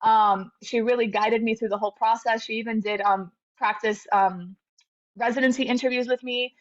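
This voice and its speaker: A woman's voice: fairly high pitch, fairly even intonation, moderate pace. A woman in her thirties, a guest in an interview, tells her story in an earnest, reflective way, with many fillers and restarts. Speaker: interview guest, medical graduate. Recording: slightly dull lo-fi audio.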